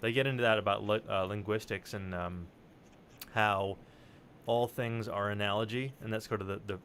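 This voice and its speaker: A man chatting casually as a podcast co-host, halting and digressive, with some swearing. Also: lowish voice, steady tone, a bit bright, slight background noise. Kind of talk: casual, rambling conversation.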